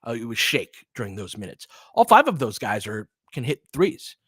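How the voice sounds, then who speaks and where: A man giving casual, analytical basketball commentary off the cuff, thinking aloud in a halting, conversational way. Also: mid-range voice, sing-song, fairly bright tone, husky, dry recording, clean studio sound. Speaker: audio play-by-play host and basketball analyst. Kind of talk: casual off-the-cuff sports commentary, answering listeners.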